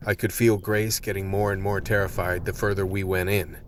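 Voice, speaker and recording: A man's voice: deep voice, flat delivery, fairly quick, a little hiss or hum, natural voice. A man playing a world-weary, tense vice cop who narrates a radio play in a hard-boiled manner. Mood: tense, brooding.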